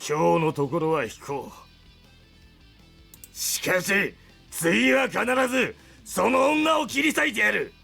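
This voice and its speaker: A man playing a menacing, enraged alien god in a visual novel game, snarling theatrically with a raspy, gravelly voice. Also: sits high, animated, a little slow, pauses often, sharp tone, heavily acted. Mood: grudging, threatening. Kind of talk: Japanese visual novel voice acting. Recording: music under the voice.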